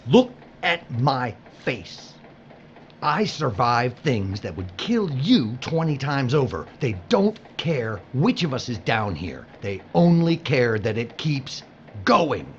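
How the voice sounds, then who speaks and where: A man's voice: medium pitch, big pitch swings, takes it slowly, lo-fi sound, a little hiss or hum. A man playing a hardened, world-weary labourer in an audio drama, with a blunt, sardonic manner.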